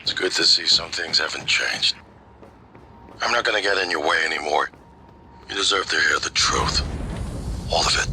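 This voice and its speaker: An actor playing a composed, world-weary middle-aged man of authority in a game, speaking in a measured, dry, deliberate way. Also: low voice, pushed and bright, a little hiss or hum, exaggerated voice. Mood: resigned, measured. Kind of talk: dramatic game dialogue.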